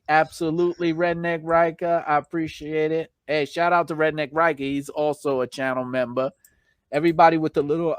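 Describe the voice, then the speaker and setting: Guy hosting a livestream, kinda high voice, chatty and slangy, bit hoarse, dry close sound. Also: no echo. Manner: chatty, slangy, informal shout-outs. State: slightly hoarse from long talking. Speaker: live stream host. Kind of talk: casual live stream chat, thanking viewers.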